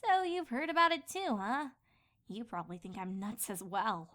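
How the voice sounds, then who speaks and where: A woman's voice: fairly high pitch, big pitch swings, moderate pace, pushed and bright. A woman voicing an earnest, easily flustered young female pony princess in a fan fiction audio drama. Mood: troubled, regretful.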